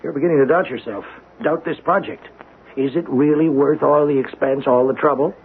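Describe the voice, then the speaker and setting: Guy playing a cautioning insider, deliberate and probing, highish voice, 70s radio drama, tinny with some hiss. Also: lightly acted. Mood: knowing, cautioning. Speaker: actor in a radio play. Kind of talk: 1970s radio drama acting. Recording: tinny, noisy old radio recording.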